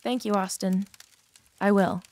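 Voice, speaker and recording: A woman's voice: medium pitch, fairly even intonation, measured pace, a little mellow, lightly acted. A woman playing an abused teenage girl confessing a painful past in a dramatized audiobook. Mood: grave, fed up.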